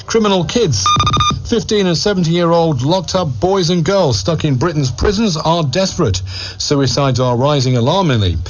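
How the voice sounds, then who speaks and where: A man's voice: on the high side, low-quality recording. A man reading radio news in a punchy tabloid style, with emphatic, clipped headlines. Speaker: radio newsreader.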